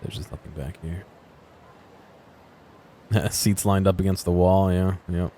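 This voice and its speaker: A man's voice: low-pitched, fairly even intonation, measured pace, mellow, slight background noise. A man giving casual gameplay commentary as a streamer, musing aloud and often questioning himself. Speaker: video game streamer.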